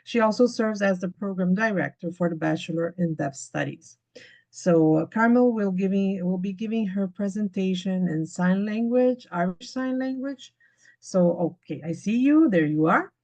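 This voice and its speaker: A woman moderating a webinar over a video call, polite and halting with many fillers. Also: medium pitch, mellow.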